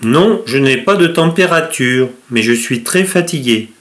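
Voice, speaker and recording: A man's voice: mid-range voice, animated, unhurried, fairly bright tone. A man playing a tired male patient with a cold in a scripted dialogue for learners. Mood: weary.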